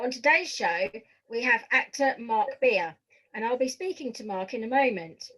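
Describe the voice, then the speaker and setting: Female podcast host, fairly high voice, interviewer, presenting style. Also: rough recording. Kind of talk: scripted introduction and interview.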